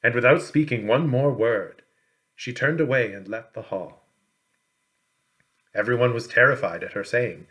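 A man narrating a storybook as an audiobook reader, in a measured, expressive storytelling manner. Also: medium pitch.

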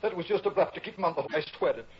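An actor playing a smug, sly blackmailer in a mannered, mock-polite style of 1960s radio drama. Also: male voice, sits high, racing, breathy edge. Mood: frightened, pleading. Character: smug, sly male blackmailer, sneering then pleading. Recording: muffled, hissy vintage radio recording.

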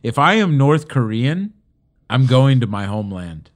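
A man's voice: medium pitch, very animated, measured pace. A man co-hosting a casual talk show, argumentative and joking, repeating his points for emphasis. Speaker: podcast co-host. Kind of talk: casual political banter.

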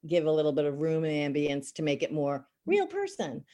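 A middle-aged woman voice actor with a raspy voice, talking in a casual, sarcastic, chatty way in an interview. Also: deep voice, huge pitch swings, fairly quick, warm tone. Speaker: voice actor and teacher.

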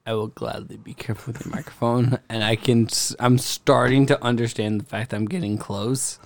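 A man's voice: mid-range voice, steady tone, a little fast, gravelly, slight background noise. A drunk young man, a guest on a casual podcast, rambling and swearing a lot as he chats.

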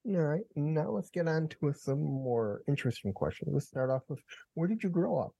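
Guy asking interview questions, mellow tone, short and direct, dry sound. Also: a little high, lively intonation, moderate pace, gravelly, slightly rough, dry recording.

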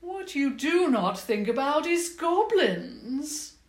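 A woman voicing a tall, regal female goblin queen, cold and smug, who speaks slowly and grandly with mockery. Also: high voice, sing-song, voice pushed up, exaggerated voice. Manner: slow, grand and mocking. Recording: echoey room.

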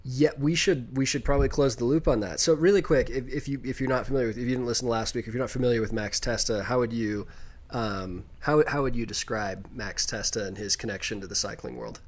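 A young man with a naturally loud voice, chatting casually as a podcast co-host. He rambles and restarts his sentences, with his pitch sitting right in the middle. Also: quick pace.

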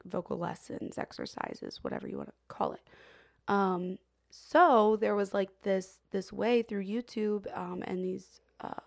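Chatty young woman with slight vocal fry. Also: mid-range voice. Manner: chatty, many fillers like "um" and "like", laughs often. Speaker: podcast co-host. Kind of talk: casual chat.

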